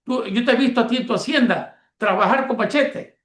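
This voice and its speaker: A man's voice: very high voice, fairly quick, a bit bright. A man, hosting a radio interview and asking long, winding questions. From Ecuador. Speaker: radio show host. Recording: echoey room.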